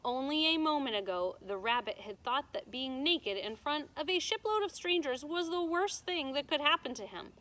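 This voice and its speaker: Bright-voiced woman reading to children. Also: high voice, rough recording. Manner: deliberate, expressive, explains words to children. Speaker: teacher reading to students. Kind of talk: children's storybook read-aloud.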